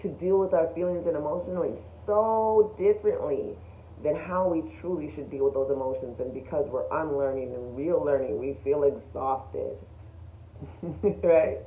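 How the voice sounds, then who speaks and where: A woman vlogger speaks in an emphatic, conversational way, leaning on pointed questions. The recording is clearly roomy and echoey, with a thin, phone-like tone. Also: deep voice, normal speed. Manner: emphatic, animated, rhetorical questions, frequent chuckles. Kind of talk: casual spiritual self-development talk to camera.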